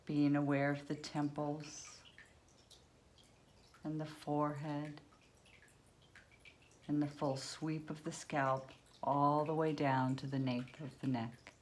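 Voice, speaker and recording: A woman with a low-pitched voice guides a relaxation meditation at a very slow pace. She gives soothing instructions in short phrases, leaving long pauses, with noticeable background noise on the recording. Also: little pitch movement.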